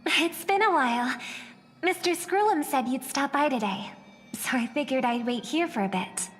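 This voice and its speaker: An actress playing a gentle, gracious young female star, speaking politely and composedly as a game character, in a reverberant recording. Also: high voice, animated, moderate pace, faint music behind. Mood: friendly. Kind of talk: scripted game character dialogue.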